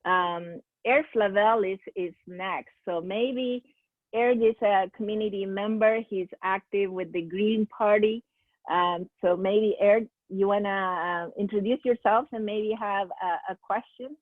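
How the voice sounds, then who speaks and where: A woman from Peru hosting a question-and-answer session over a video call, speaking haltingly with many fillers and restarts. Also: mid-range voice, no room sound. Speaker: seminar host. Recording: tinny phone-like call audio.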